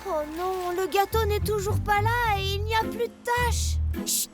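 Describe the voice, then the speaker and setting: Squeaky, very high little kid truck. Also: female voice, fairly even intonation, measured pace. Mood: curious. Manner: exclamatory, childlike. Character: eager, excitable little kid truck on adventures. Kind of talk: animated children's cartoon acting. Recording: loud music bed behind the voice.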